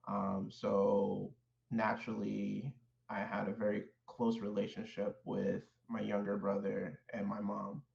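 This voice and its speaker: A man born in Haiti speaks in a low, gravelly, rather flat voice, reflecting slowly on his childhood and pausing often with fillers. The sound is dull and echoey, as if captured on a remote call in a bare room. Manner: measured, thoughtful, with many fillers and restarts. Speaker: guest, clinical social worker. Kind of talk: reflective personal storytelling.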